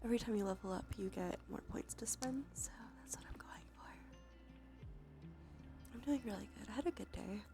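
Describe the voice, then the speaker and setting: A woman's voice: mid-range voice, fairly quick. A woman livestreamer giving whispered ASMR commentary on a game, murmuring as she thinks aloud. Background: music.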